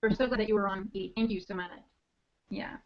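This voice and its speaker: A woman's voice: medium pitch, fairly even intonation, quick pace. A woman co-hosting a podcast, chatting casually, laughing often and restarting her sentences. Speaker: podcast co-host. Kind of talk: casual chat. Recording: dull lo-fi online call audio.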